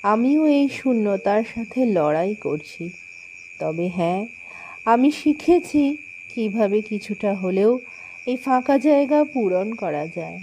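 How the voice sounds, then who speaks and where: A woman doing a soft, slow, hesitant widow. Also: mid-range voice, lively intonation, a little hiss or hum, low-quality recording. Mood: consoling. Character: gentle, hesitant widowed woman in her thirties.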